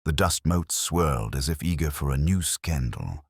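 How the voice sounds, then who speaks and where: A man reading a detective story as scripted dramatic narration, in a measured, literary way. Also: very deep voice, animated, creaky, breathy edge, no echo, studio quality.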